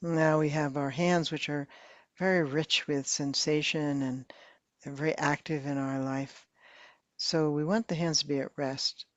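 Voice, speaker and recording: A woman meditation teacher slowly leading a guided meditation in a soft, calm voice with long pauses. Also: low-pitched, no echo, dull audio.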